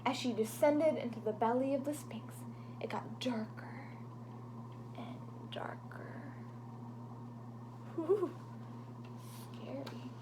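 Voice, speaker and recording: A woman reading a picture book aloud to children, animated and dropping into whispers for suspense, with chatty asides to her young listeners. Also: fairly high pitch, slow, pauses often.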